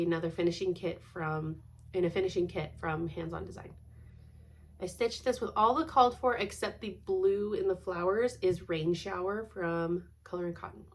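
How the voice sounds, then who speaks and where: A woman's voice: mid-range voice, darkish tone. Stuffy-nosed woman chatting casually. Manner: chatty, laughs often, rambling asides. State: congested, stuffed-up nose. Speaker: cross-stitch vlogger. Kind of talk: casual chat.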